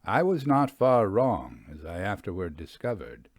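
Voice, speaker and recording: A man narrating literary stories in a measured, expressive and precise way, as a host reading aloud. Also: fairly low pitch, no room sound, clean studio sound. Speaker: host and reader of ghost stories. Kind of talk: literary audiobook-style story narration with conversational asides.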